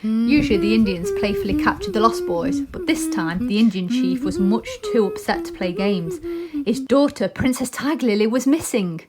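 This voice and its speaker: A woman's voice: on the high side, sing-song, moderate pace. A woman narrating a children's storybook expressively. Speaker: storybook reader.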